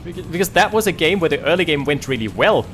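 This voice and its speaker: Joking, lively game caster man. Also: on the high side, soft background music. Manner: lively, joking, quick reactions. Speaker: esports caster. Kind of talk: casual game commentary.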